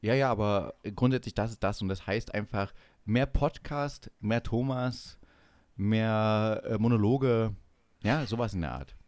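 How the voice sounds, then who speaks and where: A man's voice: fairly low pitch, a little slow, a little mellow, no echo. A man co-hosting a podcast in casual, joking chat with an ironic, mock-earnest manner. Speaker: podcast co-host.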